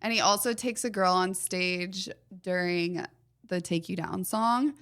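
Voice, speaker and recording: A young woman with a slightly raspy voice hosting a casual podcast in a laid-back way. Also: fairly low pitch, measured pace, a bit bright. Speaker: podcast host. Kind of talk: casual chat.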